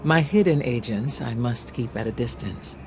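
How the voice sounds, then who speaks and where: An actor playing a lonely, reflective mentor in a video game, speaking in a measured, wry, confiding way. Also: male voice, mid-range voice, background noise, natural voice. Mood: wry, melancholy. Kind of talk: dramatic game monologue. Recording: music behind the voice.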